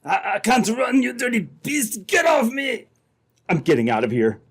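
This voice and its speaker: Man acting a high-pitched, panicked outlaw. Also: huge pitch swings, moderate pace, breathy edge, heavily acted. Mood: panicked, furious. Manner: shouting, desperate. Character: panicked, snarling male gold thief under attack. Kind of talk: old-time radio adventure acting.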